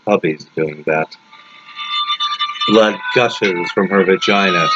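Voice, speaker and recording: A man narrating a scripted gothic horror story as its author, in a dramatic, deliberate manner with drawn-out words. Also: lowish voice, very noisy. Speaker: author reading own story. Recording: dull lo-fi audio under loud music. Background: loud music.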